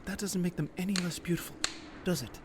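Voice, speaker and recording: A man's voice: sits high, fast, background noise, natural voice. A man playing an earnest, dreamy young boy in an audio drama.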